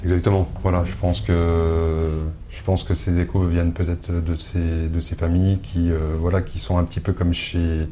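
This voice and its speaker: A man with a very deep, mellow voice answers interview questions. He speaks in a flat, even tone and searches for words often, filling pauses and restarting his sentences. He is a shop owner from Brittany. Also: slight background noise. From Brittany, France. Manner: hesitant, many filler pauses and restarts.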